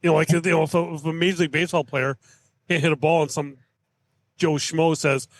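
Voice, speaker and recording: A man's voice: fairly high pitch, level tone, brisk, no room sound. A man from Canada chatting casually as a guest on a podcast. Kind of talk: casual chat.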